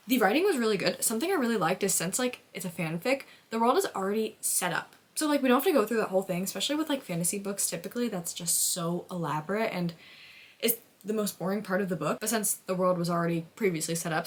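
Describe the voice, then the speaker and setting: A woman book vlogger chatting casually and effusively in an informal, emphatic way, with "like" sprinkled through her sentences. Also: mid-range voice. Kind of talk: casual chat, emotional book reaction vlog.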